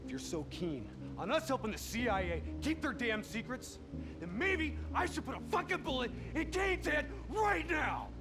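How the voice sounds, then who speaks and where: An actor playing an embittered, combative soldier in a military video game, sarcastic and forceful, quick to swear. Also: male voice, very high voice, big pitch swings, music in the background. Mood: bitter fury. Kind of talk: dramatic video game voice acting.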